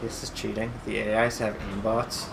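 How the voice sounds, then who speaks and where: A man's voice: fairly low pitch, level tone, normal speed, creaky, background noise. A man co-hosting a video game playthrough, riffing casually and swearing as he jokes with his partner. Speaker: let's play co-host. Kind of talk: casual joking banter over gameplay.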